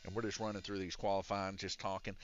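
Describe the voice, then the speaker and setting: Deep raspy man calling RC races. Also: little pitch movement, fast talker, lo-fi sound. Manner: rambling and explanatory, with many "uh" fillers. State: congested from a chest cold. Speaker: race announcer and organizer. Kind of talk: casual live-stream commentary. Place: indoor RC raceway shop. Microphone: wired or wireless handheld mic.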